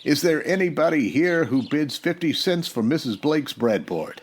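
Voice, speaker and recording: An American actor playing a steady, dryly defiant Old West marshal in a radio western, with a folksy, laconic delivery. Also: male voice, on the high side, moderate pace, slightly rough.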